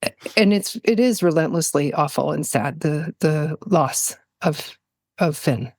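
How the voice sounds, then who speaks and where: A woman speaking as an interview guest in a reflective, personal way, halting thoughtfully as she searches for words. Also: low-pitched, animated, darkish tone, no room sound, clean studio sound. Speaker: podcast guest, poet.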